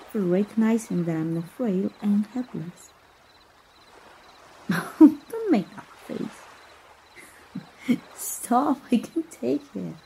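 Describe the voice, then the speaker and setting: A woman with a Mexican accent playing a playful, tender young princess in a romantic ASMR roleplay, teasing gently with bashful hesitations. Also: on the high side, vocal fry, full character voice. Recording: noisy outdoor nature ambience.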